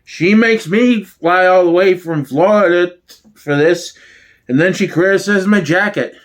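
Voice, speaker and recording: A man's voice: high voice, unhurried. A man performing an older father in a sitcom reading, boastful and grumbling.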